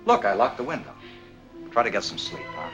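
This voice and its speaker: A man's voice: mid-range voice, wildly swooping pitch, a little fast, dull audio, music in the background. An actor playing an earnest, insistent man in love, in a 1940s film drama with a hissy old soundtrack. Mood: steady. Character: earnest, lovestruck man defending his missing fiancée.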